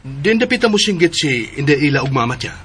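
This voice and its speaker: A man's voice: on the high side, big pitch swings, a little fast, fairly bright tone, breathy edge, full character voice. A male actor playing a father searching for his wife in a Philippine radio drama, with a Cebuano Filipino accent. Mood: urgent.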